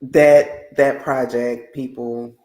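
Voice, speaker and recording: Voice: mid-range voice, flat delivery, slow, darkish tone. An effusive podcast host chatting casually with a guest and speaking straight to the listeners. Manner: effusive, addresses listeners directly. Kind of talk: casual interview chat. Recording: roomy, echoey sound.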